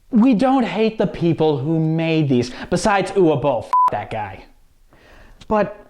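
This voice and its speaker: A man's voice: fairly high pitch, a little mellow. A man co-hosting a show, giving unscripted opinion commentary in an emphatic, earnest way. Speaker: show co-host.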